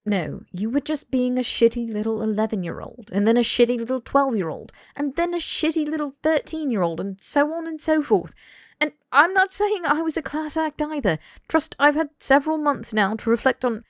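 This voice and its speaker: A woman's voice: sits high, expressive, normal speed, dry recording, studio quality, voice pushed up. A woman voicing an earnest, blunt young British man in audiobook dialogue, emphatic and pleading. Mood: exasperated, heated. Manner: emphatic, sweary, rambling tirades.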